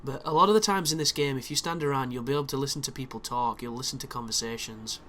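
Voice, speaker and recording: A man's voice: medium pitch, fast talker, fairly bright tone. A man giving casual, chatty commentary over a video game walkthrough, sounding chesty from a chest infection. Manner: chatty, explanatory, with frequent restarts and fillers.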